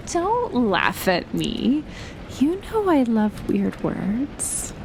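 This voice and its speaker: A woman playing a gentle, shyly affectionate best friend in an intimate audio roleplay, speaking softly and hesitantly with a breathy, near-whispered voice. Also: a little high, huge pitch swings, noisy background, full character voice. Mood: calm, reflective. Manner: soft, hesitant, slow with long pauses. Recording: loud rain and thunder throughout. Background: rain and thunder effects.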